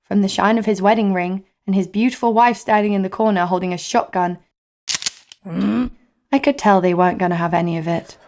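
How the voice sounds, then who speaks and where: A woman's voice: medium pitch, normal speed, darkish tone, close to an everyday voice. A woman playing a cocky, foul-mouthed adventurer, narrating and speaking in an audio drama with a sardonic manner. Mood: wry narration. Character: brash, sarcastic, foul-mouthed female adventurer narrating her exploits. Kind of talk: first-person audio drama narration and dialogue.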